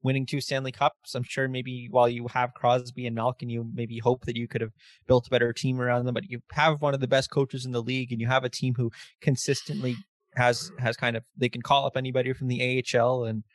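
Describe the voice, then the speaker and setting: A man's voice: medium pitch, flat delivery, a little fast, no room sound. Easygoing young man. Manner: easygoing, storytelling, teasing. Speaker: podcast co-host. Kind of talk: casual sports chat.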